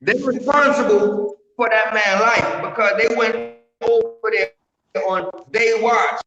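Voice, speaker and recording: High, bright, heated man on a call. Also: unhurried, highs cut off. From Brooklyn, New York. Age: 40s. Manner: animated, keeps saying "you know what I'm saying". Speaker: guest calling into a live stream. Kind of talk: heated street-talk commentary. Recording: roomy, noisy call audio that cuts in and out.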